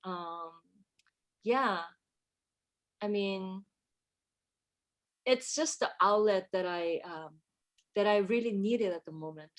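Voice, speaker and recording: A woman's voice: medium pitch, takes it slowly, pauses often. Woman composer, reflective. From Korea. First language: Korean. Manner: reflective, searching for words, many fillers and restarts. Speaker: composer, interview guest. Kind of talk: casual conversational interview.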